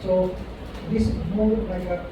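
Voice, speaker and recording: A man's voice: sits high, level tone, unhurried, recorded from a distance, background noise. A man moderating a classroom talk, explaining in a plain way. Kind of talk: classroom discussion. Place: university classroom. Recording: echoey room from afar, dull sound.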